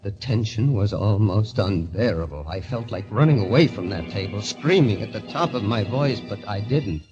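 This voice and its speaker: An actor playing a haunted club member who tells his own story with measured, dramatic dread in a golden age radio mystery. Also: on the low side, lively intonation, darkish tone, close to an everyday voice. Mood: riveted dread. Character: haunted club member narrating his obsession, tense, confessional. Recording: dull old radio recording with music.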